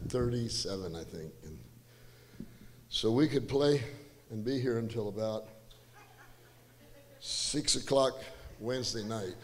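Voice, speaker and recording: Hoarse older man telling stories in church. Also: fairly low pitch, slow pace, pauses often. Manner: relaxed, rambling storyteller with self-deprecating asides. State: slightly hoarse. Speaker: guest musician and speaker. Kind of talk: church storytelling testimony. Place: church stage, large indoor room. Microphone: handheld mic. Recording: reverberant church hall with faint music.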